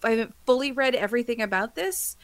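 A woman's voice: fairly high pitch, animated, fast, fairly bright tone, no echo. A woman on a podcast panel talking casually and bluntly, with an emphatic, exasperated delivery. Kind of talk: casual opinionated panel discussion.

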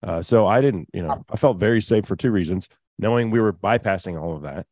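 A man's voice: lowish voice, brisk, a little mellow, no room sound. A man hosting an outdoor radio show, chatting casually and reading sponsor spots in an easygoing way. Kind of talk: casual radio chat and live ad reads.

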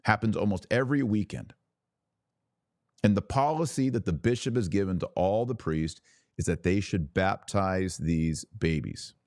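A man's voice: sits low, normal speed, a little mellow, no echo, studio quality. A man hosting an opinionated religious podcast, delivering an emphatic, preachy monologue addressed straight to his audience. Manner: emphatic, preachy, rhetorical questions and asides. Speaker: podcast host and religious commentator.

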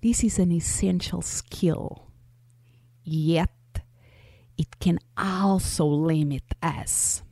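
Slow, mellow Portuguese-accented woman. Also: fairly low pitch, steady tone, dry recording, clean studio sound. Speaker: podcast host. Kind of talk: scripted solo podcast monologue.

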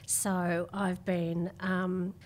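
A woman with an Australian accent talking as an interview guest, reflective and measured as she tells her story. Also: on the low side, flat delivery, a little mellow. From Melbourne, Australia. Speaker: podcast guest.

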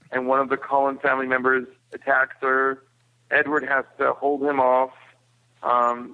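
A man giving a casual film recap as a podcast guest, explaining in a halting way. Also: mid-range voice. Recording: tinny phone-like line.

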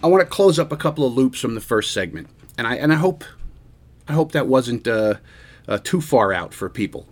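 A man in his sixties hosting a radio show, musing aloud in an earnest, halting way with many restarts. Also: mid-range voice, animated. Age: 60s. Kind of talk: reflective casual radio monologue.

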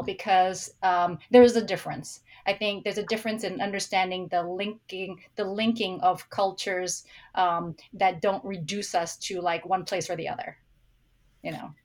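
A middle-aged woman, born in San Francisco and raised in Hong Kong, talking reflectively as a podcast guest and often restarting her sentences. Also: lowish voice. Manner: reflective, with frequent restarts and fillers. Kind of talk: casual interview talk.

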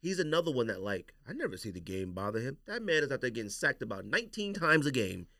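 A man's voice: medium pitch, very animated, fast, bright, forceful tone, dry recording, studio quality. An American man hosting a casual sports podcast, giving emphatic, opinionated takes and repeating his points for emphasis.

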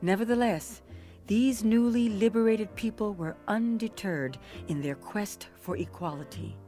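A woman narrates a historical script in a measured, formal way. Her voice is warm and mellow at a medium pitch, with faint music underneath.

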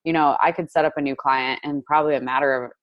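A young woman from Indiana with a notably low voice talks in an easy, chatty way. She fills her sentences with filler words and laughs often. The sound is dry and close, with no room echo. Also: fairly even intonation, fast talker, dull audio. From United States, Indiana. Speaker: guest, digital marketer. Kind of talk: casual interview chat.

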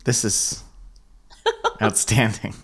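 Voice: medium pitch, fairly even intonation, slow, a bit bright, breathy edge. Joking co-host with loose, trailing speech. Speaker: podcast co-host. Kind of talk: casual interview. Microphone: headset.

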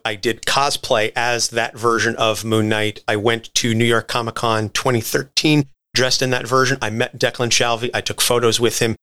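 A man's voice: on the low side, sharp tone. A man co-hosting a podcast, explaining comic book history in a conversational, articulate and wordy way. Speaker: podcast co-host.

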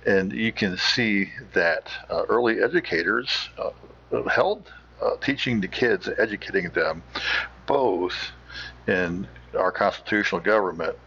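An American man giving informal explanatory commentary as a host, halting as he searches for words. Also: deep voice, pushed and bright, breathy edge. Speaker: host of an old-time radio show. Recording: slightly dull, lo-fi.

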